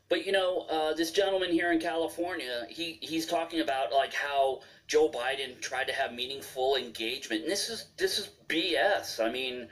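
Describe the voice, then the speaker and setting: A man arguing heatedly as a debate panelist, full of restarts and fillers. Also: mid-range voice. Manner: forceful, repeating fillers and restarts. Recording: distant from the mic.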